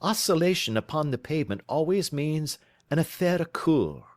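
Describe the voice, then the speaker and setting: A man voicing a keen, lofty male detective in an audiobook, crisp and lecturing in manner. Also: on the high side, very animated, normal speed, no echo, clean studio sound, voice pushed up. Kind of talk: audiobook character reading.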